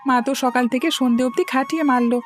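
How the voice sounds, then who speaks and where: Woman as a chatty cartoon schoolkid boy, highish voice, talks fast, complains eagerly. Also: steady tone, faint music behind, close to an everyday voice. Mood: curious, complaining. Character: schoolboy pupil, chatty, curious, excuse-making. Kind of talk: Bengali cartoon dubbing.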